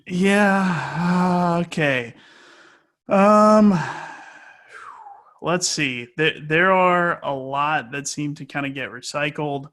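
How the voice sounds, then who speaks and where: A man with a fairly high, bright voice gives casual interview answers. He sounds like a sports announcer off duty, hesitating and working out his thoughts aloud as he goes. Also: slow. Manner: hesitant, thinking aloud with frequent stalling.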